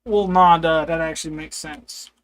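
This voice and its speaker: A man's voice: a little high, steady tone, moderate pace, fairly bright tone, smooth, no echo. A man streaming from a hot room, his voice crackly and strained, chattering to himself while he plays. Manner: rambling, talking to himself while playing. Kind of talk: casual live-stream chatter. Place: hot, humid room with two PCs.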